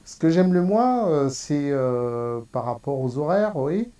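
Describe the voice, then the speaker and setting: A hospital nurse answering interview questions, hesitant and full of pauses. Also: male voice, fairly high pitch, animated, mellow. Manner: hesitant, many fillers.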